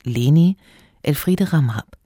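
Slow, dark, husky radio announcer. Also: medium pitch, lively intonation, no room sound, clean studio sound. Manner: measured, formal. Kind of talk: formal radio credits announcement.

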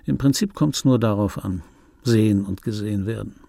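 An actor playing a wry, self-important male film dubbing artist who recounts his life in a radio play monologue, with dry irony and pointed, repeated phrasing, in a gravelly, husky voice. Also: fairly low pitch, moderate pace, warm tone, no echo. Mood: sardonic, confiding. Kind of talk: intimate radio drama monologue.

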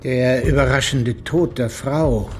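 A courteous male detective voiced by an actor from a radio crime drama. He has a mid-pitched voice and chooses his words with formal care, a little old-fashioned in his phrasing. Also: takes it slowly, a little hiss or hum, natural voice. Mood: measured, probing. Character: courteous, careful male police investigator.

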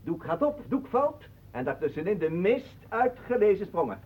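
An actor playing a pompous, mocking self-styled ballet master with florid, theatrical delivery in a radio play. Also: male voice, high-pitched, sing-song, normal speed, slightly rough, exaggerated voice. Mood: pompous, courtly. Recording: muffled phone-like old radio recording.

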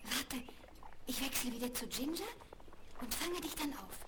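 A woman's voice: sits high, lively intonation, moderate pace. A woman playing a bold, cheeky young circus girl in a 1970s German children's radio play, with an exuberant, commanding manner. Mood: commanding, showy. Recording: noisy 1970s vinyl transfer.

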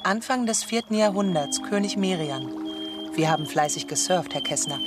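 An actress plays a polite church sister in a radio drama. She sounds eager, with a medium-pitched voice set against loud music and birdsong. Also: expressive, moderate pace, lightly acted. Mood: friendly. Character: polite, eager Protestant sister, friendly with old man.

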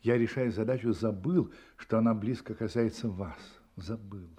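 An actor playing a brilliant, confident detective in a Russian radio play, explaining deliberately and emphatically with dramatic pauses. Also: male voice, lowish voice, mellow, breathy edge. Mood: apologetic, soft.

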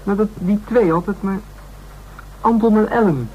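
A woman's voice: medium pitch, moderate pace, muffled recording, slight background noise, natural voice. An actress playing a catty, gossipy woman with a scornful, exclamatory manner in a Dutch radio crime serial. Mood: catty, exasperated.

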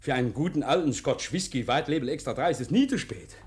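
An actor playing a nervous, over-eager murder suspect in a 1950s radio play, effusive, stammering and repeating himself. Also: male voice, on the high side, expressive, fast talker, close to an everyday voice. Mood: manically cheerful.

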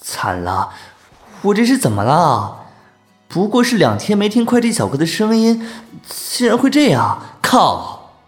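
A man's voice: high voice, wildly swooping pitch, measured pace, exaggerated voice. A man playing a flustered, easily smitten young homebody in an audio drama, speaking hesitantly and stammering. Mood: flustered, agitated. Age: young adult. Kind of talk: Chinese audio drama acting with inner monologue. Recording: music under the voice. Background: music.

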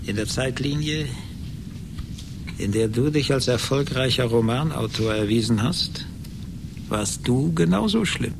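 Creaky-voiced gloating, vengeful rich man. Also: lively intonation. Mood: smug, gloating. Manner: condescending, deliberate. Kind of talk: German radio play acting. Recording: noisy 1980s radio recording with rumble.

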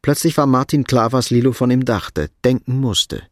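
Male radio drama narrator, medium pitch. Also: moderate pace, dry recording, studio quality.

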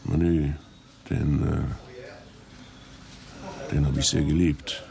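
A man playing a grim gangster with an old grudge, speaking in a laconic, halting way in a German radio drama. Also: very deep voice, flat delivery, vocal fry, a crowd in the background, exaggerated voice. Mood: grim, guarded.

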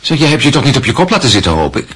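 A man playing a stern, goading father with a very husky voice in a radio drama. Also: mid-range voice, big pitch swings, racing, fairly bright tone, exaggerated voice. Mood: indignant, pressing.

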